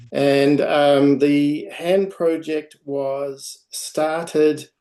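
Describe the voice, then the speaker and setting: Slow, hesitant New Zealand man. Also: mid-range voice, warm tone. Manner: hesitant, many ums and restarts. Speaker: guest speaker, project founder. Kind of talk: informal presentation on a video call. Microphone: video call.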